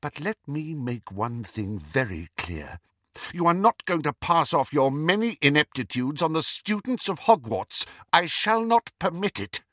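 A man's voice: fairly low pitch, big pitch swings, slow, few pauses, fairly bright tone, slightly rough, dry recording, studio quality, full character voice. A man voicing a stern, dignified female professor of witchcraft, precise, formal and icily impatient, in an audiobook. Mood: indignant.

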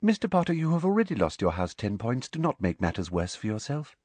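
A man's voice: medium pitch, huge pitch swings, slow pace, a bit bright, dry recording, voice pushed up, heavily acted. A man voicing a smug, sickly-sweet middle-aged female teacher from a storybook, with a honeyed trill and a precise, dismissive manner. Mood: stern, smug. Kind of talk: audiobook character voice.

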